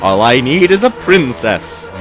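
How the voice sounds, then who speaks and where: A man's voice: medium pitch, very animated, measured pace, music in the background. A man playing a scheming, bitter old storybook villain with a snarling, theatrical, gloating delivery. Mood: gleeful scheming. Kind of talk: parody of rhymed storybook villain dialogue.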